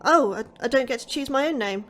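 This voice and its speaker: An actress playing an anxious, earnest astrobiologist in an audio drama, speaking in a polite, hesitant and questioning way. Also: female voice, high-pitched, fairly quick, close to an everyday voice. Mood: nervous. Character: anxious, earnest woman astrobiologist, newly arrived. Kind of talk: audio fiction acting.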